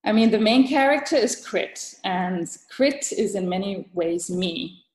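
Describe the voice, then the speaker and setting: A woman from Thailand is a guest on an interview podcast. She talks thoughtfully in a mid-range voice, pausing often and restarting her sentences. Her voice carries a noticeable room echo. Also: medium pitch, lively intonation, a little mellow. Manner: thoughtful, halting, many fillers and restarts. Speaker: guest author.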